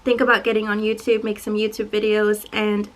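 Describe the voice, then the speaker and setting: Twenties woman, quiet and shy, casual vlog chat to camera, medium pitch. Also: little pitch movement, a little fast, rough recording. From Dallas, Texas. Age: 20s. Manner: soft-spoken, self-described shy and quiet. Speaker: YouTuber. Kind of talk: casual get-to-know-me Q&A.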